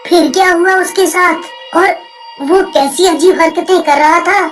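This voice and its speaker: Someone voicing a curious high villager. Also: steady tone, a little slow, over music. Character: curious skeptical male villager.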